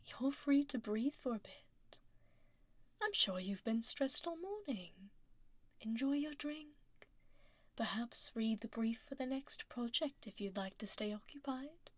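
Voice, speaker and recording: A woman's voice: on the high side, dry recording. Whispery, poised dragon lady boss played by a woman. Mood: composed, reassuring. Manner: whispery, unhurried, poised, gently commanding. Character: powerful composed female dragon executive boss, teasing, exacting. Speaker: ASMR roleplay performer. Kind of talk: soft-spoken ASMR roleplay. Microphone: close binaural microphone. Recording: dry close binaural studio recording.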